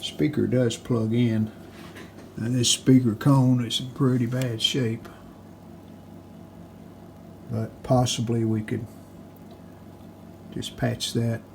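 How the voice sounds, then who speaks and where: A man with a mid-pitched voice talks through hands-on repair work in a casual way. He speaks off the cuff in short phrases, thinking aloud as a hobbyist restorer would. The home-video sound carries clear noise. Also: flat delivery, slow, long pauses.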